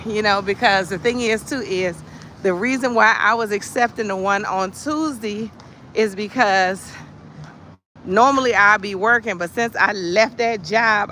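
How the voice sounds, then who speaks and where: A bright, punchy American voice hosts a casual livestream over light urban noise. The delivery is animated and sassy, leaning hard on key words and dropping in conversational asides. It sits at a middle pitch and feels like comic storytelling among friends. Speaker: livestream host telling stories.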